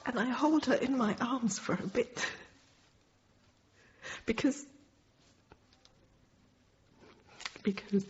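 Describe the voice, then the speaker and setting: An actress playing an anxious, tender thirty-year-old infant teacher, confiding her account in halting, reflective phrases in a radio drama. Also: on the high side, slightly rough. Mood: tender, tired. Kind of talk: radio drama monologue, intimate first-person narration. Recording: dull radio sound with faint noise.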